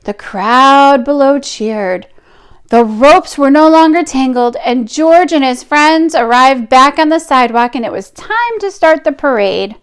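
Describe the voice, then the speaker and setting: A woman reading a picture book aloud to children, expressive, with drawn-out emphasis and chatty asides. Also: sits high.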